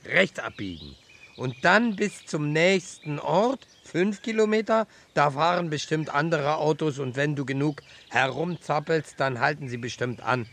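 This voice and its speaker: Bossy adult, urgent, commanding, highish voice, very lively intonation, children's radio drama. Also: male voice, measured pace, full character voice. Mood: urgent, insistent. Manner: commanding, insistent. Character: urgent, bossy man giving directions to child. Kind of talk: radio play dialogue for children.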